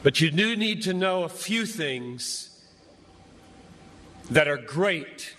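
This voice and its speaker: A man preaching an impassioned sermon to a crowd as a pastor, speaking emphatically and deliberately with dramatic pauses between short phrases. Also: fairly high pitch, animated, sharp tone, a little hiss or hum. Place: large gathering before a crowd. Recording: echoey large venue, long reverb.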